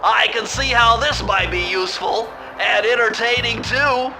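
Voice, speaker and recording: An actor voicing a brainy, fussy young animal sidekick in a video game, nasal, with precise, bookish wording. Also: male voice, very high voice, a little slow, gravelly, over music, full character voice, low-quality recording. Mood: excited.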